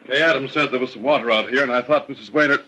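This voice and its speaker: An actor playing a polite, earnest, self-possessed gentleman suspect in a 1940s radio mystery. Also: medium pitch, racing, lightly acted. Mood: politely casual. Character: courteous, smooth male suspect, helpful and self-possessed. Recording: noisy, crackly old radio recording.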